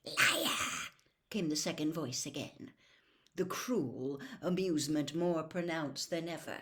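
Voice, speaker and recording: A woman storyteller narrating a book dramatically, with a theatrical, deliberate delivery. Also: low-pitched, a little mellow.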